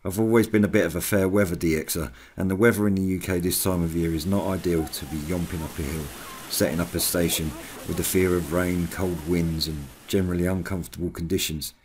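Man, British accent, low-pitched voice, channel host, scripted update narration. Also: steady tone, fairly quick, hardly any pauses, a little mellow, slight background noise. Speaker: video channel host, CB radio hobbyist.

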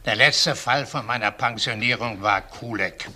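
A man's voice: medium pitch, unhurried, pushed and bright. An actor playing a shrewd, precise older retired policeman in a German crime radio play. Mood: precise, recounting.

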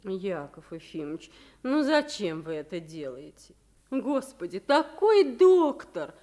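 A woman's voice: medium pitch, big pitch swings, unhurried, dark tone. An actress playing a fussing, exasperated woman in a radio play, lamenting and exclamatory. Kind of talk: Soviet radio play acting.

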